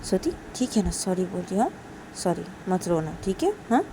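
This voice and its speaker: A woman's voice: lowish voice, sing-song, moderate pace, background noise. A woman voicing an affectionate young woman who soothes and scolds, repeating herself insistently in a storytelling recording. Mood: tender, apologetic. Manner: insistent, repeating, tag questions.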